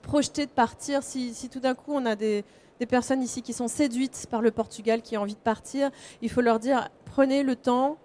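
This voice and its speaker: A woman's voice: a little high, steady tone, normal speed, darkish tone. A woman hosting a live conference interview in a spontaneous way, interjecting to check and rephrase points. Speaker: host.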